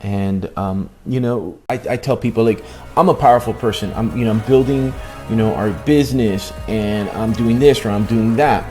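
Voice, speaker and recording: A man's voice: fairly low pitch, moderate pace, a little mellow, soft background music. A middle-aged man hosting a casual vlog chat, emphatic and often restating his points. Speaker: host of a couple's book-review vlog.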